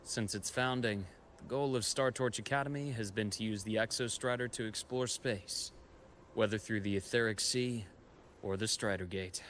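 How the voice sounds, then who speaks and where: An actor playing a composed, knowledgeable expedition guide and scholar in a video game, telling history in a measured, reflective way. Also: male voice, on the low side, a bit bright, a little hiss or hum, lightly acted. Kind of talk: scripted video game story dialogue.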